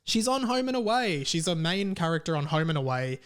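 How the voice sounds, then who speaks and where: Man, Australian accent, fairly high voice, casual podcast host, rambling, irreverent. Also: big pitch swings, brisk, fairly bright tone, smooth. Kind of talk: casual comedic rant.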